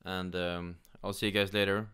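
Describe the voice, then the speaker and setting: Low, smooth Swedish-accented man. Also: level tone, normal speed, fairly bright tone. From Sweden. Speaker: gaming YouTuber. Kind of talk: casual news commentary, partly reading aloud.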